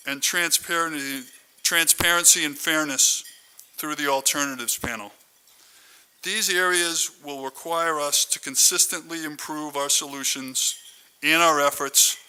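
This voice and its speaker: A man's voice: a little high, sharp tone, clear, resonant tone, rough recording. A man hosting a conference, giving a formal, prepared introduction speech. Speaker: conference host introducing keynote speaker.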